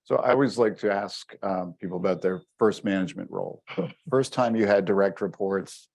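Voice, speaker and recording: A man's voice: deep voice, normal speed, creaky, a little mellow. A man hosting an interview and asking easygoing, curious questions about a guest's career. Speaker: interviewer, host of a leadership series.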